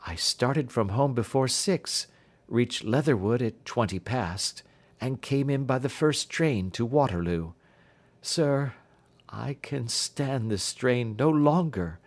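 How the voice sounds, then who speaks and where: A man voicing a frightened young gentlewoman who pleads for help in formal Victorian speech, in an audiobook. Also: medium pitch, animated, slow pace. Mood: agitated, then desperate, pleading. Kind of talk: audiobook character voice.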